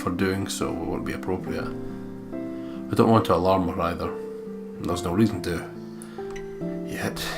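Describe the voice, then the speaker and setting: Low-pitched man over guitar music. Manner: sweary, joking. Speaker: let's play co-host and narrator. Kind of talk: casual banter and read-aloud visual novel narration. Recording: background guitar music under the voice.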